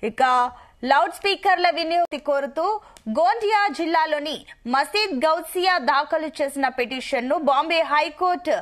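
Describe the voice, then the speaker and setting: A woman news presenter reading a scripted report in a formal, measured manner. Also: high voice, fairly bright tone. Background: music bed.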